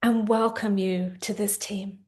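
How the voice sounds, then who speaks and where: A woman therapist guiding a tapping exercise, explaining gently with frequent fillers, then leading phrases to repeat. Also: mid-range voice, steady tone, measured pace. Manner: gentle, instructive, many fillers. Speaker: therapist and coach. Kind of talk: guided tapping session.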